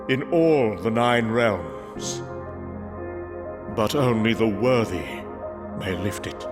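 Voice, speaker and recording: A man acts out a proud young Norse thunder god in a dramatic audio drama. He is boastful and commanding, with slow delivery and big swings in pitch, over clear background music.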